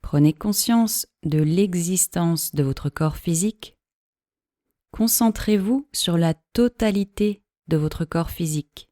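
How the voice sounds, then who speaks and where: A woman teaching yoga nidra, giving calm, measured guided-meditation instructions in a soothing way with long pauses. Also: lowish voice, no room sound, clean studio sound.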